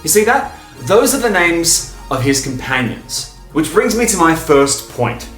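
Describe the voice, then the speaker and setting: A man hosting a fan video, talking in an enthusiastic, informal, emphatic way, stopping and starting with pauses for breath. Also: fairly high pitch, big pitch swings, fairly bright tone, echoey room, soft background music. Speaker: video host and fan reviewer.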